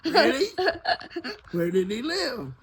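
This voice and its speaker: A woman voicing a bossy, skeptical female puppet with a husky voice and a blunt, folksy way of scolding in an improvised show. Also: a little high, sing-song, slow, heavily acted.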